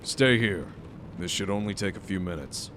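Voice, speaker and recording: A stern academy official in a superhero audio drama. His voice is slightly low and measured. He is blunt and dry, with steady authority. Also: slight background noise.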